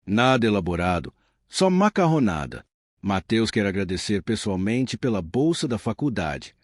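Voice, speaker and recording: A man's voice: on the low side, big pitch swings, normal speed, dry recording, studio quality. A man reading the lines of a humble, determined woman in her late thirties, earnest and modest.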